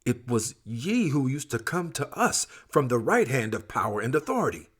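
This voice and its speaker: A man's voice: medium pitch, big pitch swings, moderate pace, fairly bright tone, breathy edge, voice pushed up. A man voicing doomed sinners and scoffers in a dramatized scripture reading, exclamatory, anguished and accusing.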